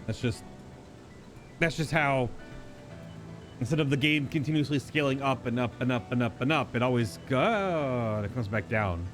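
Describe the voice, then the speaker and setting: A man with an average-pitched voice commentates on a game he is playing live. He rambles in a wry, animated way, thinking aloud as he reacts. Game music plays clearly behind him. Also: moderate pace, pauses often. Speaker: game streamer.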